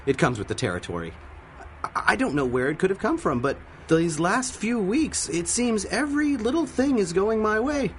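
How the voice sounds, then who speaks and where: A prim little official from an old radio drama, high-pitched and very lively. He is chirpy, wordy and fussily polite, with faint noise behind. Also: normal speed. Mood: cheerful, marveling. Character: fussy, chirpy, meticulous little male safety inspector. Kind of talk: 1930s radio drama acting.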